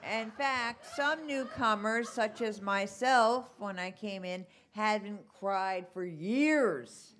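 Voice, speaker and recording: Slow-talking woman at a meeting, noisy recording. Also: mid-range voice, a bit bright. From Texas. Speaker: meeting participant. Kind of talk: speaking from the floor of a meeting.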